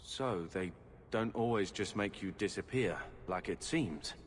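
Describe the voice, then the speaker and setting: Man voicing a deep-voiced wary companion. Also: measured pace, in a large hall, slight background noise, lightly acted.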